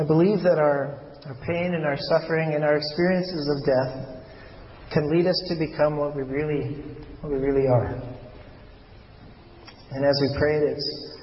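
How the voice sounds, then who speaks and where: A man giving a personal, reflective sermon as a preacher, speaking haltingly and often rephrasing himself. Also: a little high. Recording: muffled, noisy recording in a reverberant hall.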